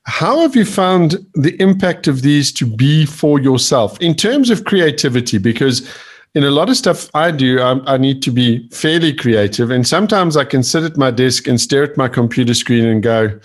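A man's voice: medium pitch, normal speed. Male podcast host, easygoing questions. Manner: conversational, relaxed questioning with fillers. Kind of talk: podcast interview.

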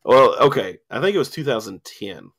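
A man's voice: mid-range voice, big pitch swings, a little fast, fairly bright tone. A man hosting a casual sports radio show in a studio, rambling with many ums and fillers, his voice starting to go. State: losing his voice, coughing. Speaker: sports talk host. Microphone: studio mic with cough button.

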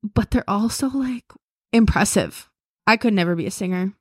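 A young woman co-hosts a podcast in a loose, chatty way, scattering "like" through her sentences. Her voice sits at a middle pitch. It is recorded dry and close, with no room sound. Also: moderate pace, slightly rough, no echo. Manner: chatty, lots of "like". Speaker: podcast co-host. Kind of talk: casual chat.

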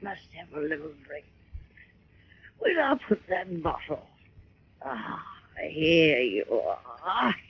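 An actress playing a grand, tippling, easily frightened stage duchess with a declamatory, muttering delivery in old radio style. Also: female voice, deep voice, big pitch swings, takes it slowly, pauses often, slight background noise, heavily acted. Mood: tipsy, theatrical. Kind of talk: 1940s radio drama acting. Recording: muffled vintage radio recording.

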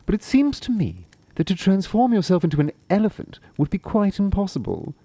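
A man's voice: high voice, wildly swooping pitch, fairly quick, dark tone, no echo, voice pushed up, full character voice. Man playing a sly bossy talking cat. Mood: flattering. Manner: bold, coaxing, commanding. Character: clever scheming talking cat in boots.

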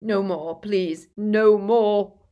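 A woman's voice: mid-range voice, fairly even intonation, very slow, highs cut off, voice pushed up. A woman voicing an old male wizard professor in agony for an audiobook, with strained, anguished, pleading cries. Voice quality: croaking, strained. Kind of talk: audiobook character voice.

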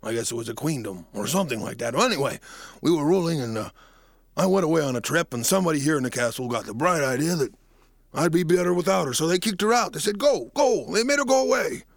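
A man's voice: on the high side, lively intonation, fast talker, vocal fry, dry recording. A man playing a sleepy, sorrowful old king. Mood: mournful. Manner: drowsy, mournful, rambling.

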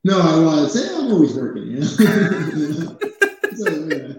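A man's voice: on the high side, huge pitch swings, takes it slowly, heavy reverb, crowd noise. A man in his twenties from New Jersey, a podcast guest talking casually and laughing often, with lots of fillers. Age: 20s. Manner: laid-back, laughs often, many fillers. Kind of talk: casual chat.

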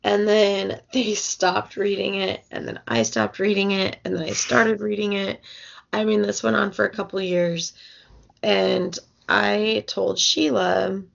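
A woman's voice: medium pitch, measured pace, creaky, muffled recording. A young adult woman co-hosting a casual book podcast, rambling with fillers and restarts as she loses her voice. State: losing her voice. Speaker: podcast co-host.

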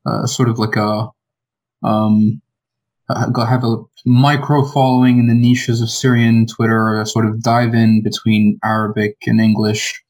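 A male podcast guest talks in a low, level voice. He stays hesitant throughout, filling his pauses with ums and often restarting his thoughts. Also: level tone, darkish tone, poor audio. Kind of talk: casual podcast conversation.

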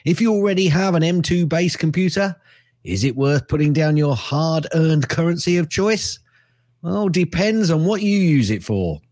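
Animated, slightly husky male podcast co-host. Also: on the high side, no room sound, studio quality. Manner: playful, repeats words and phrases. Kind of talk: casual banter with co-host.

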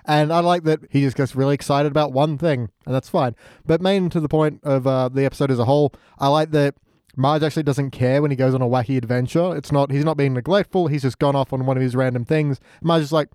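A man's voice: medium pitch, fairly even intonation, fast talker, darkish tone, smooth, no echo. A man chatting casually as a podcast guest, with dry, wry humor. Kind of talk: casual comedic review chat.

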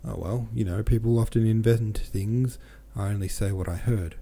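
A man voicing a gossipy, smiling adjutant in an audiobook, talking smoothly and at length. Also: lowish voice, fairly even intonation, normal speed, mellow. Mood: chatty. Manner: smooth, talkative storytelling. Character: smiling gossipy male Russian adjutant, chatty.

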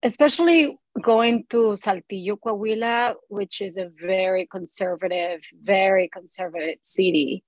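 A woman's voice: mid-range voice, moderate pace, hardly any pauses, no room sound. Woman. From Mexico. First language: Spanish. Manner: animated storytelling, acts out quoted voices. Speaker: guest, film producer. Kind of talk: conversational interview.

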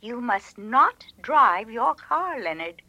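An actress playing a worried, insistent aunt who gives ominous, emphatic warnings in a radio drama. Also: female voice, fairly high pitch, sing-song, slow, pushed and bright, no echo, lo-fi sound, full character voice. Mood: urgent warning.

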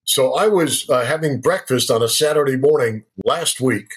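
Husky-voiced older man telling stories. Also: mid-range voice, normal speed. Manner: chatty, folksy, checks in with "right?". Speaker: guest, former radio broadcaster. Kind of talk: conversational storytelling. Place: local to the show's town.

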